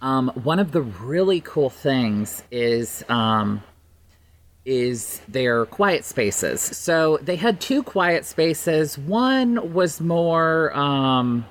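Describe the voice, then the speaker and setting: A podcast guest chatting casually, enthusiastic and halting, often repeating words for emphasis. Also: mid-range voice, very animated.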